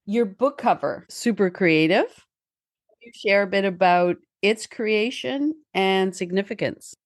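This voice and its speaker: A woman's voice: medium pitch, takes it slowly, no echo. A woman hosting an interview, offering brief, encouraging remarks and questions. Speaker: podcast host.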